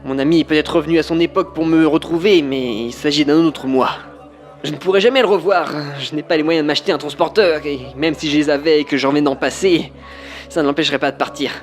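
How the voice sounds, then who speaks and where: A man's voice: fairly high pitch, quick pace, soft background music, close to an everyday voice. A man acting in an audio drama as a resigned witness mourning a lost friend in testimony. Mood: sad, resigned.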